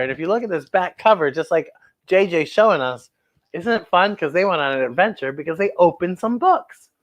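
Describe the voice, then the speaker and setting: A woman's voice: medium pitch, lo-fi sound. A woman hosting children's story time, reading a picture book aloud and exclaiming directly to young viewers.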